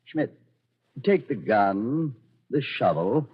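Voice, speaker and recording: Nazi colonel, husky and animated, commanding, sardonically courteous, vintage radio drama, dull lo-fi sound. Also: male voice, mid-range voice, takes it slowly, exaggerated voice. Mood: smooth menace. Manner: commanding, suavely polite, sardonic. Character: coolly menacing Nazi colonel escaping through the desert. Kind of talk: 1940s radio acting.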